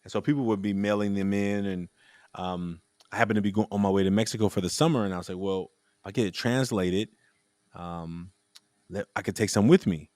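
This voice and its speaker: A man with a somewhat low voice hosts a relaxed conversation and tells a long story in a loose, rambling way, often restarting his sentences. The sound is close and dry, with no room around it. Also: a little fast, dry recording. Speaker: podcast host. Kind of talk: casual conversational interview.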